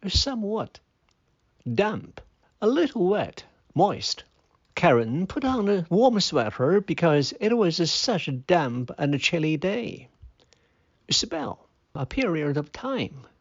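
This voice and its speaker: A narrator reading a children's fable and explaining English words in a deliberate, instructional manner. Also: mid-range voice. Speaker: storyteller and English vocabulary teacher. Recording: dull, muffled lo-fi sound.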